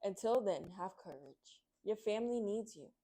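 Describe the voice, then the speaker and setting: A woman's voice: medium pitch, expressive, moderate pace, mellow, natural voice. Woman as a wise, reassuring glowing star. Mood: earnest, encouraging. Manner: reassuring, earnest. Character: glowing star, wise encouraging guardian spirit.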